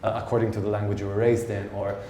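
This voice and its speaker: A man's voice: on the low side, flat delivery, fast, dark tone, a little hiss or hum. A man with an Italian accent, a writer and translator, asking questions in a reflective, hesitant way with many restarts. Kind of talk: conversational public Q&A. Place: lecture hall. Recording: echoey hall with faint ambience.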